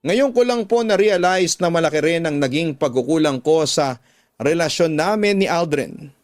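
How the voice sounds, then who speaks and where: A Filipino man hosts a radio storytelling show, narrating in a dramatic letter-reading style. His voice sits a little high for a man. Soft music plays behind him. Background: background music.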